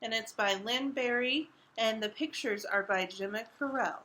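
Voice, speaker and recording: A woman's voice: a little high, normal speed, bright, forceful tone, slight background noise. A woman reading picture books aloud to toddlers as a story-time host, with simple, emphatic, sing-song phrasing. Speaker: children's library story-time reader. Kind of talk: animated picture-book read-aloud for toddlers.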